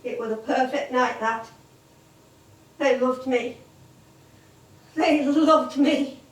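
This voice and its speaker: A high-voiced, wistful English stage veteran. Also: female voice, slow. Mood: bitter. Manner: emotive, dramatic, reminiscing. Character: ageing music hall actress, proud, wistful, wounded. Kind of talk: theatrical stage monologue and dialogue. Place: theatre stage, echoing. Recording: roomy theatre stage, distant microphone.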